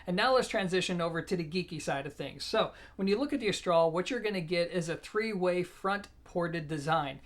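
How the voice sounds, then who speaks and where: A product reviewer hosting an audio gear video. The voice sits at a middle pitch. The delivery is informal and explanatory, speaking straight to the viewers. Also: lively intonation, brisk, hardly any pauses. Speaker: product reviewer and show host.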